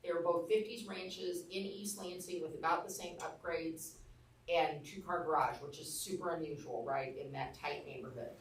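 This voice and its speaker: A woman's voice: low-pitched, moderate pace, slight background noise. Blunt Arkansas woman teaching a class. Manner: blunt, candid storyteller. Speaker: real estate trainer. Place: training room. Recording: echoey room, mic far off.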